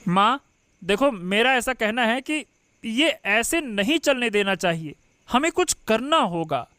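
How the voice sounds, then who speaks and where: A woman voicing a mocking, sarcastic young sister-in-law who plots against the new bride in an animated story. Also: on the high side, measured pace, no echo. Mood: plotting.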